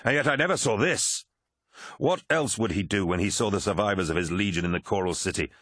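A man voicing a commanding, wrathful warlord of superhuman warriors in a dramatic audiobook, forceful and imperious. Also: fairly low pitch, expressive, brisk, no echo, clean studio sound. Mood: angry. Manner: forceful, imperious, snapping and roaring. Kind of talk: dramatic audiobook character acting.

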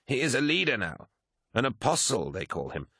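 A man as a formal adviser, pitched up. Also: sing-song, pushed and bright, husky, no room sound, studio quality, exaggerated voice. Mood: urgent report. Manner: measured, obsequious, formal. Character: anxious-to-please male military adviser, formal and deferential.

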